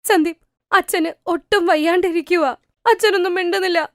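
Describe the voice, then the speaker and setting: Woman playing an earnest high-voiced young wife. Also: fairly even intonation, normal speed, fairly bright tone. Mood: worried. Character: earnest, plucky young wife defending her husband. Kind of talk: cartoon moral story acting.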